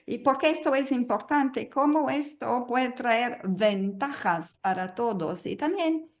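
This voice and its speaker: Woman, native Portuguese speaker, slow and hesitant webinar presenter on a video call. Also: fairly high pitch. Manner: hesitant, many fillers and restarts. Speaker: guest speaker.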